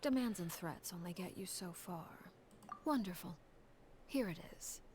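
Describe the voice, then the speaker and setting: A woman's voice: fairly low pitch, animated, a little mellow, slight background noise. Arlecchino, a cold commanding woman. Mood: scheming. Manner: measured, coolly condescending. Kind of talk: game character dialogue.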